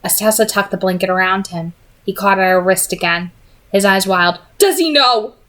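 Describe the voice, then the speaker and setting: Female reader for expressive amateur audiobook narration, medium pitch. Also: moderate pace. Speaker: reader of a novel read-aloud.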